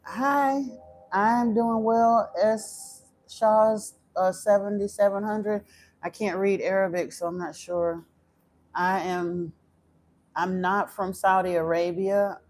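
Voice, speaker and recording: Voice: mid-range voice, fairly even intonation, slow, a little mellow. A livestream host from Florida giving spiritual advice in casual chat, direct and at times blunt, with frequent fillers and restarts. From Florida, United States. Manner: chatty and direct, turns blunt and stern. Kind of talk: casual livestream chat answering viewer comments.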